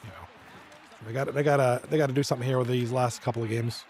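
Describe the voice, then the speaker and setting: A man with a hoarse, fading voice doing casual play-by-play commentary on a live stream, chatting with viewers between plays. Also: medium pitch, fairly even intonation, fast talker, a little mellow. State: hoarse, losing his voice. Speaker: esports league commentator.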